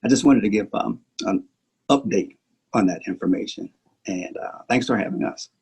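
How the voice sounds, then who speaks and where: A man speaking as a club president, giving earnest, soft-spoken remarks over a video call. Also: lowish voice, normal speed, vocal fry, husky.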